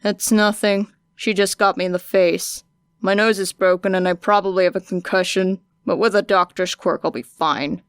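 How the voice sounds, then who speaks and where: A woman voicing a tired, gruff grown man who talks in curt, clipped lines. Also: medium pitch, steady tone, normal speed, no room sound, clean studio sound, natural voice.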